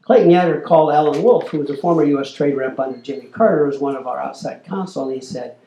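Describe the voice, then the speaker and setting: Older man telling stories, gravelly voice. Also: on the high side, fast talker, roomy sound, dull audio. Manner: animated storyteller, acts out quoted exchanges. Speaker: lecturer, former corporate lobbyist. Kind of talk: storytelling lecture. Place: lecture hall.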